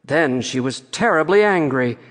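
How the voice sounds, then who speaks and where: A man narrating a fairy-tale audiobook in a measured storytelling way, with long reverb on the recording. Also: fairly high pitch, expressive, a bit bright.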